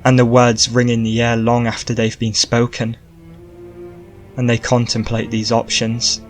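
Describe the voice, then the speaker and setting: Male narrator, steady slow read, mid-range voice, light music bed. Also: medium pitch. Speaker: audiobook narrator. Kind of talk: reading a fan script aloud.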